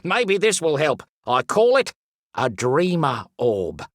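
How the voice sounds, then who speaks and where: Fussy pompous explorer professor type for a cartoony game, super animated up and down voice, theatrical, dry studio sound. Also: male voice, fairly high pitch, slow, dry recording, exaggerated voice. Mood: chirpy, enthusiastic. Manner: theatrical, pompous, with whimsical made-up words. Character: fussy, excitable, pompous male explorer and wildlife expert. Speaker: voice actor. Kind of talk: animated video game character voice lines.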